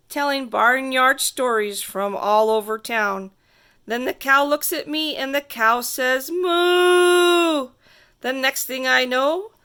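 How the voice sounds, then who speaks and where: A woman reading a rhyming picture book aloud to children in a theatrical, sing-song way with drawn-out emphasis. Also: high-pitched, bright, forceful tone, smooth. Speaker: storybook reader.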